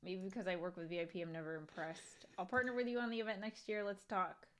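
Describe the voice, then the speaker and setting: A woman co-hosting a casual livestream podcast, talking in an animated way with lots of fillers and a strong, carrying voice. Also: medium pitch, fast talker, slight background noise. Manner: animated, thinks aloud with many "like" fillers. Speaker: co-host.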